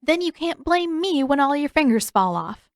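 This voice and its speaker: A woman voicing a bossy, sharp-tongued teenage girl in an audiobook, firm and sarcastic. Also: sits high, sing-song, moderate pace, no echo, clean studio sound, voice pushed up.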